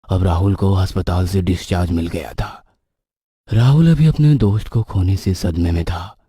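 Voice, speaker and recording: A man reading a scripted horror story as its narrator, with a husky voice. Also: low voice, warm tone, dry recording, studio quality.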